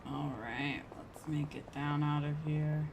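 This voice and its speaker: A woman's voice: deep voice, steady tone, slow pace, gravelly, background noise. A woman streaming a game, chatting casually and playfully and muttering to herself.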